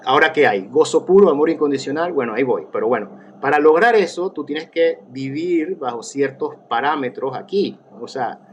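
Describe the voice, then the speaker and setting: A man's voice: a little high, animated, normal speed, hardly any pauses, a little hiss or hum. A man, a therapist talking as an interview guest, reflective and rambling with many restarts and fillers. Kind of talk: casual conversational interview.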